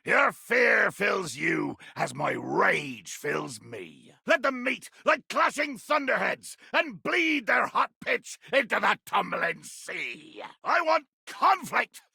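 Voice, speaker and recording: A man voicing a bloodthirsty, irascible pirate captain for a video game, ranting theatrically in archaic sea-dog speech with a gravelly growl. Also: high-pitched, very animated, slow, bright, forceful tone, no room sound, clean studio sound, exaggerated voice. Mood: raging, exultant. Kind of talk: video game character acting.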